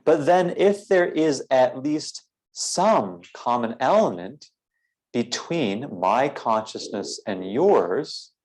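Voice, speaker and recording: A man leading a philosophical guided meditation in a measured, deliberate way, with formal phrasing and frequent pauses. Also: medium pitch, expressive, darkish tone, poor audio.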